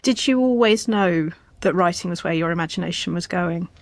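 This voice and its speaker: A woman's voice: fairly low pitch, a little fast, no room sound. A woman hosting a conversational interview, speaking in an effusive, enthusiastic way. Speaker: podcast host and interviewer. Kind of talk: warm introduction and conversational interview.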